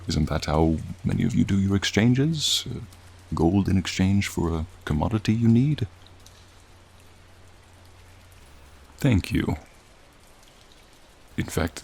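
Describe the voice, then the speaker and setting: Deep and unhurried, this is a friendly, centuries-old dragon played by a man in a soothing ASMR roleplay. The voice is low and gravelly, with long, slow phrasing. It speaks with gentle formality and a wistful, lonely temper. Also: exaggerated voice. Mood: warm, curious. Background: rain and raindrops.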